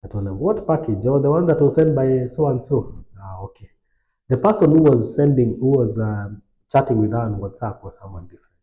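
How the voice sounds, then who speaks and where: A man's voice: medium pitch, animated, a little fast, dark tone, echoey room, muffled recording. A man on a podcast telling a personal story in a casual, anecdotal way, now and then dropping in Swahili phrases. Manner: anecdotal, light laughs, mixes in Swahili phrases. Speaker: podcast host.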